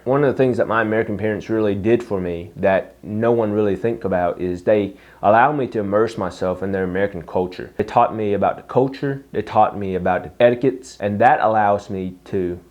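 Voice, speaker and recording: A young man tells his own story straight to camera, with an accent that blends Vietnamese with Canadian and Southern American English. His voice sits low, and he leans hard on key words, slowing down to stress them. The sound is a little muffled and lo-fi. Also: a little mellow. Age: young adult. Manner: earnest, reflective, with emphatic slow stresses. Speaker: vlogger.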